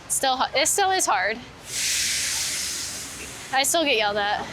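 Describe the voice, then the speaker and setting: A woman's voice: sits high, expressive, unhurried. A woman chatting casually and informally, as a guest on a walking interview, peppering her talk with "like". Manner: informal, heavy use of "like". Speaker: interview guest, street photographer. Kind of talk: casual chat while walking. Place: outdoors on a city street. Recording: clear outdoor street noise with traffic. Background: street ambience and vehicles.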